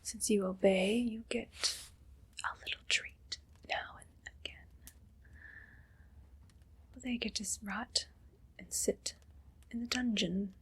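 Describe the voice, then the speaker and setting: Woman acting as cruel evil queen, very breathy whisper, haughty, condescending, possessive, ASMR roleplay. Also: mid-range voice, fairly even intonation, slow pace, long pauses. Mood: condescending, menacing.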